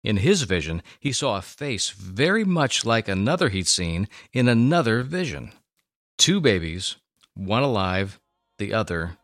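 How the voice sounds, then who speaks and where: A man's voice: lowish voice, fairly bright tone, no room sound. A man giving a solemn, theatrical scripted reading of a Bible story as narrator. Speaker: narrator and co-host.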